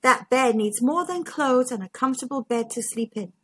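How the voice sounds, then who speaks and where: A woman's voice: sits high, animated, normal speed, husky, dry recording, clean studio sound. A woman voicing a caring, concerned toy giraffe in a children's storybook, explaining earnestly.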